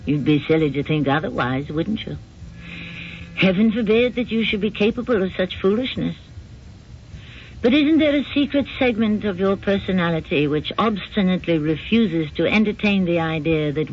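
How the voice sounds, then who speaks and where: A man hosting a radio drama, reading scripted, rhetorical narration in a deliberate, philosophical manner. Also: a little high. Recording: dull old radio recording, faint noise.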